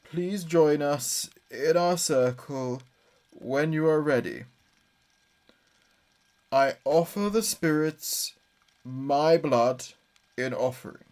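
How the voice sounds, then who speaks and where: A grieving son, acted by a man, speaks extremely slowly in a slightly high male voice. His solemn, halting invocations carry desperation, in the manner of a horror audio drama séance. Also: darkish tone. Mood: solemn, intense.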